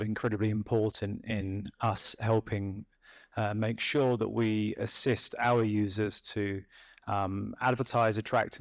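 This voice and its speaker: A man's voice: on the low side, no echo. A man introducing a speaker at a conference, hesitant and full of fillers. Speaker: event host introducing speaker.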